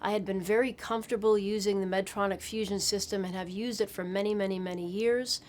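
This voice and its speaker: Woman, 40s, ENT surgeon, calm explanatory read, mid-range voice. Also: medium pitch. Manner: measured, explanatory, clinical. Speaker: otolaryngologist. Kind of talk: interview to camera.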